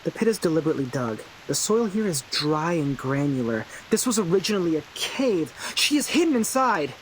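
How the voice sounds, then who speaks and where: A woman voicing a quirky, analytical young investigator in a video game, theatrical and excitable. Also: sits low, a little fast, a little hiss or hum, poor audio.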